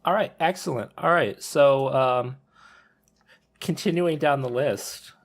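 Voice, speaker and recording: A man's voice: mid-range voice, takes it slowly. Rambling man hosting a podcast. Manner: rambling, hedging, steers the topic. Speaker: podcast host. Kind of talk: casual podcast discussion.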